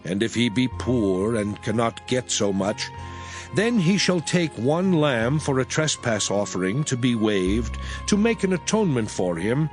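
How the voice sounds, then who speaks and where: Male narrator, scripture reading, lively pitch, solemn and measured, faint music underneath. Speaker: scripture reader.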